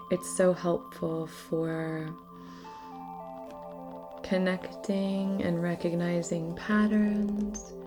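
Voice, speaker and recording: Female spiritual host, lowish voice, gentle and near-whispering, casual guided-ritual delivery with music clearly underneath. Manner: soft-spoken, often slipping into near-whisper.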